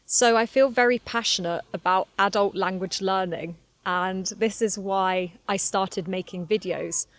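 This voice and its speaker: A woman's voice: medium pitch, steady tone, unhurried, few pauses. A woman in her twenties from Cornwall with a Southern English accent, a touch of London, chatting candidly as an English teacher on a podcast for learners, with frequent laughs and fillers. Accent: Southern English, slightly London. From Cornwall, England. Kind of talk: relaxed solo podcast monologue for learners.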